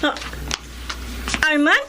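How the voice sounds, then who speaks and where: An actress playing a young, gentle secretary in a classic radio drama, pleading and emotional. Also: female voice, very slow, slight background noise, low-quality recording. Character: young female secretary, gentle but frightened.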